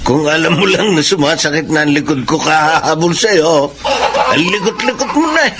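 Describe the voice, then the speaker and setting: An older actor playing a jovial, weary grandfather in a TV drama, with a gravelly voice. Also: a little high, lively intonation, normal speed, natural voice. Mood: playful, tired. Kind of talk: Filipino television drama acting. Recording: clearly noisy location sound.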